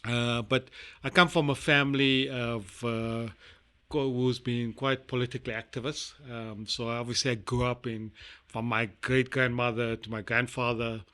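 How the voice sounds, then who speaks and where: South african guy chatting on a podcast, bright forceful voice, lots of ums and uhs. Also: medium pitch, level tone, clear, resonant tone. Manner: rambling, halting, many fillers. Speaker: guest. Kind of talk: casual interview chat.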